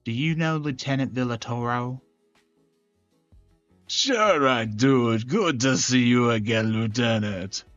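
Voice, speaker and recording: A man performing scripted dramatic dialogue from a visual novel in a measured, serious manner. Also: medium pitch, a bit bright, no room sound, lo-fi sound. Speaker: gaming channel creator voicing every character. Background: game music.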